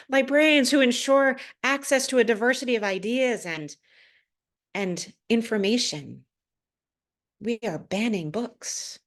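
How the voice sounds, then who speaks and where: A woman minister delivering a prepared sermon to a congregation, phrasing deliberately and pausing for emphasis. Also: mid-range voice.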